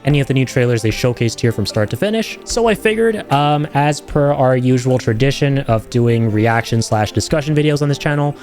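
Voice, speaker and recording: A man hosting reaction videos, speaking in an excited, chatty, rambling way to his viewers. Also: mid-range voice, a little fast, few pauses, faint music behind. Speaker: streamer and video host reacting to game trailers. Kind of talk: excited casual commentary to viewers.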